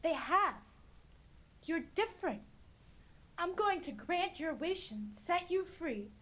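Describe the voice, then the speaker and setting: Girl acting a sad young fiancee in a radio play, super high voice. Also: expressive, slow, a bit bright, heavily acted. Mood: hurt, resigned. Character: hurt young fiancée, gently breaking off her engagement.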